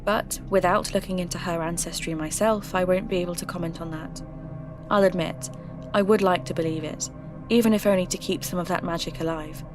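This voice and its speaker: A woman acting as an earnest folklore podcast host. Her voice sits a little low as she narrates in a measured, confiding and curious manner, with music faintly underneath. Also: fairly even intonation, close to an everyday voice. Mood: reflective.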